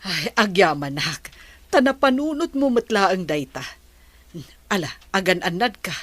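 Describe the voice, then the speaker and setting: Babaeng gumaganap na maalalahaning matandang ina. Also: female voice, mid-range voice, very animated, unhurried, a bit bright, no room sound. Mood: motherly. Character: older motherly woman, caring and stubborn. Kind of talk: radio drama acting.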